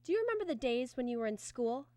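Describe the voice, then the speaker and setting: A woman's voice: on the high side, sing-song, fast talker, darkish tone, no room sound. A woman announcer reading act breaks and a sponsor message in an old-time radio style.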